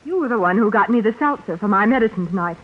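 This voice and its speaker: An actress playing a cool, quick-witted, composed woman in a 1940s radio suspense drama. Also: mid-range voice, expressive, natural voice. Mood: wary, challenging. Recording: phone-like vintage radio broadcast.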